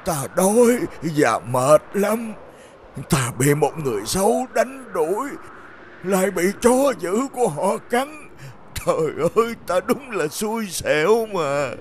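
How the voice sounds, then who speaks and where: Slow, pitiful old cartoon beggar, faint room noise behind. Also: male voice, sits high, very animated, heavily acted. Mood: weary. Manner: weary, pitiful. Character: hungry shivering old beggar man.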